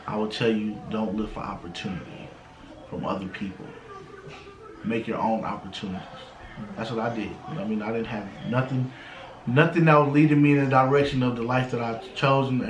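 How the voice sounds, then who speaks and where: American man in his thirties, echoey room. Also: mid-range voice. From United States. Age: 30s. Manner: street slang, frequent "you know what I'm saying". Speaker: interview guest. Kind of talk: casual motivational talk. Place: indoors in a house. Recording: roomy, echoing home interview.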